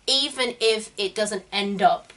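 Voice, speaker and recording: A young woman hosting a casual chat, explaining her advice with quick asides. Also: mid-range voice, sharp tone. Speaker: host.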